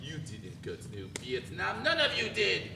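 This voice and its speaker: In a noisy, echoing hall, a man acts out a sarcastic, theatrical debater from the art scene. He draws his words out slowly in a highish voice, needling and sprinkling in French. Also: wildly swooping pitch, gravelly, sharp tone, exaggerated voice. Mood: mocking. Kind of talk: dramatic literary reading before listeners. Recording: echoey hall, noisy room ambience.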